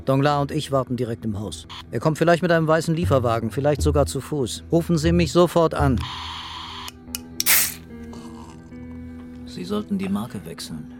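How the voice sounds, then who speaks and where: An actor playing an unconventional police detective in a radio play, terse and understated but quietly in command. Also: male voice, mid-range voice, animated, fairly quick, natural voice. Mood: quiet, serious. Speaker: police commissioner in a crime drama. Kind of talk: naturalistic German radio play acting. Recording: music bed under studio dialogue.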